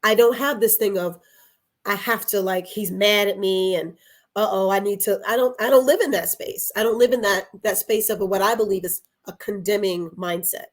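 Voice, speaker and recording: A woman's voice: mid-range voice, moderate pace. A woman talking reflectively in a conversational podcast style, earnest, often repeating phrases and posing questions. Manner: earnest, rhetorical questions and repetition. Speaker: podcast guest.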